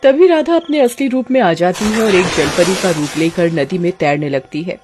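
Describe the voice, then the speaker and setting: A woman's voice: fairly low pitch, moderate pace, very noisy. Woman narrating a cartoon story. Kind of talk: scripted children's story narration.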